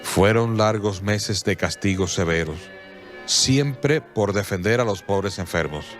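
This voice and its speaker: Low-voiced solemn radio drama narrator. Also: male voice, soft background music. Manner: measured, solemn storytelling.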